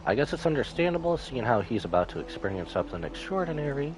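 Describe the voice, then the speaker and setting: A man's voice: mid-range voice, very animated, fast, noisy background. A man live-streaming a story game, reading dialogue aloud and adding casual asides. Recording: music behind the voice.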